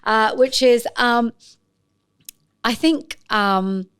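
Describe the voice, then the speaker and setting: A woman's voice: a little high, extremely slow, clean tone, dry recording, clean studio sound. Enthusiastic woman podcast host. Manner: warm, enthusiastic, affirming. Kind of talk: podcast interview conversation.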